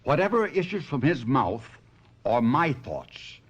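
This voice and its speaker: An actor playing a troubled, sentimental stage ventriloquist in a theatrical, brooding 1970s radio mystery drama style. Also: male voice, medium pitch, big pitch swings, measured pace, husky, dull audio. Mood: alarmed, doubtful.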